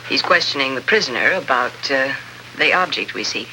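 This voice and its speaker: Very bright voice, guarded woman scholar-conspirator, measured formal delivery, vintage 1950s radio drama, faint background noise. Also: very deep voice, animated, full character voice, poor audio. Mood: guarded, composed. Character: guarded, businesslike female archaeologist plotting a desert revolt.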